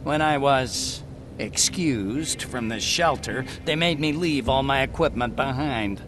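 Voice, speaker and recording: An actor playing an eccentric, talkative scientist and hacker in a video game cutscene, eager, blunt and given to explaining. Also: male voice, medium pitch, unhurried, noisy background, lightly acted. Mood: dry, instructive.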